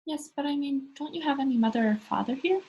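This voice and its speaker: A woman's voice: high-pitched, fast, mellow, voice pushed up, lightly acted. A woman voicing an anxious, earnest little girl in a storybook, asking things in a tentative, curious way. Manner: tentative, questioning. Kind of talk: children's storybook read-aloud.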